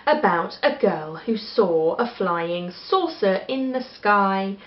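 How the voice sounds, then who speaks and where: A woman with an English accent reading a children's story aloud in an expressive style. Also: mid-range voice, slow. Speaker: storybook reader. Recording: echoey room, slightly dull sound.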